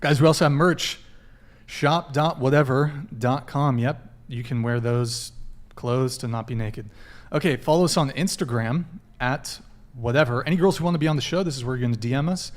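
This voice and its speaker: Joking male podcast host. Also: mid-range voice. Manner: joking, quick shout-outs and plugs. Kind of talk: casual dating show hosting.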